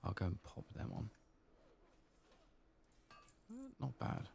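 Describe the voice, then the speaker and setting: A man giving soft-spoken, partly whispered ASMR commentary as he plays a game, murmuring his thoughts aloud. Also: mid-range voice, huge pitch swings, slow pace, creaky, a little hiss or hum. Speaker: gaming channel host.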